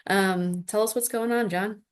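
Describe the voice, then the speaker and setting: A woman moderating an online webinar, thanking speakers and steering questions with brisk, friendly transitions. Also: medium pitch, steady tone, clear, resonant tone.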